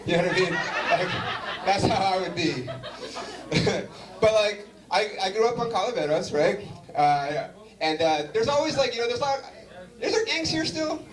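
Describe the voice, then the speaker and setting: A man's voice: sits high, normal speed. A man from Southern California doing a loose, chatty stand-up set and bantering with a live audience. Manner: animated, chatty, loose with fillers, banters with the crowd. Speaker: stand-up comedian. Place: comedy venue before a live audience. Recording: roomy live venue recording from a distance with crowd noise. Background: audience laughter.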